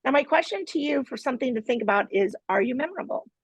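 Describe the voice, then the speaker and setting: A woman's voice: high voice, lively intonation, fast, husky, no echo. A woman hosting a live online master class from her home studio, with a playful, teasing manner. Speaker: host and team leader.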